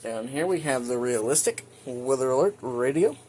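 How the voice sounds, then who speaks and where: A man hosting a hobby video, giving a casual, halting, informal show-and-tell narration. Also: medium pitch, animated, warm tone, slight background noise. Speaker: video host, radio collector.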